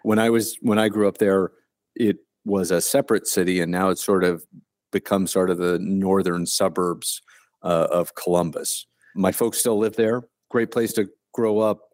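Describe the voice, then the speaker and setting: A man in his fifties from Ohio, a retired Army colonel telling his story as a guest over a remote call, in a plainspoken, unhurried way. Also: deep voice, fairly even intonation, a little mellow, dry recording, studio quality. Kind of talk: casual interview conversation.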